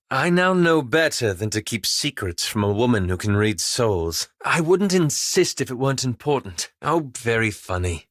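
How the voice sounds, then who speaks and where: A man playing a polite, anxious, scholarly elven wizard in a video game, speaking with formal, measured, courteous diction. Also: mid-range voice, fairly bright tone, no echo, studio quality. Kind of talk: video game character dialogue.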